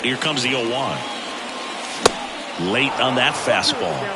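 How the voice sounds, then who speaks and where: Swooping baseball play-by-play announcer. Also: male voice, mid-range voice, lively intonation, slow. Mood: alert, building excitement. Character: male baseball play-by-play announcer, alert and quick to rise. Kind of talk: sports commentary in a video game. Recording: loud stadium crowd and ambience behind. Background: ballpark crowd noise.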